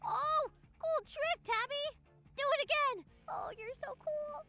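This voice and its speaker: Woman as extremely high-pitched, excitable student. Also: slow pace, exaggerated voice. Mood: gushing. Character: excitable, starry-eyed student classmate. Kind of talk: visual novel voice acting. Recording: music clearly behind the voice.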